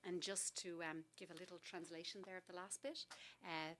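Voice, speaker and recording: A woman poet reading her poems aloud and explaining them, soft and halting between lines and more expressive in the verse. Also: lowish voice. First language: English. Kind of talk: poetry reading on a talk show.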